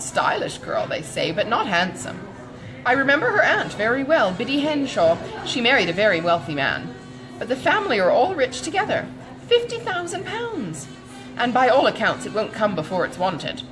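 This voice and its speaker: A woman voicing a kindly, gossipy, indignant older gentlewoman in an audiobook of a classic novel, chattering with dramatic exclamations. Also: on the high side, wildly swooping pitch, normal speed, music in the background, rough recording. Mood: gossipy, excited.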